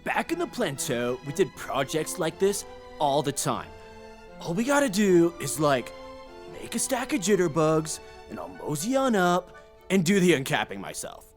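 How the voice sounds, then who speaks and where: A man's voice: fairly high pitch, sing-song, moderate pace, faint music behind. A man playing a laid-back, cheerful contractor bug in a children's audio drama, with a slangy, drawling, easygoing manner. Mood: enthusiastic.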